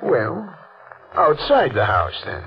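An actor playing a sly, folksy small-town hotel clerk turned blackmailer in a 1940s radio mystery, with a rural American accent and feigned innocence. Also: male voice, a little high, huge pitch swings, takes it slowly, creaky, heavily acted. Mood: sly, insinuating. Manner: drawling folksy speech, sly feigned innocence, chuckling insinuation. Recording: dull, noisy old radio recording.

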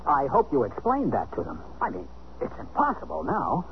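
An actor playing a bewildered, wry but soft-hearted American husband in a 1970s radio drama. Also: male voice, mid-range voice, huge pitch swings, normal speed. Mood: agitated. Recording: muffled, hissy 1970s radio drama recording.

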